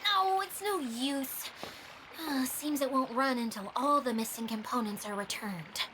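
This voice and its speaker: A woman's voice: sits high, measured pace, noisy background. A woman voicing an earnest, self-doubting young female mechanic in a video game, polite and anxious. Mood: dejected. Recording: faint game ambience behind.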